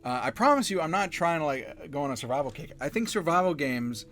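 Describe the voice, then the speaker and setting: A man's voice: on the high side, big pitch swings, quick pace, fairly bright tone. A man hosting a casual podcast, rambling and correcting himself, laughing easily as he talks. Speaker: podcast host.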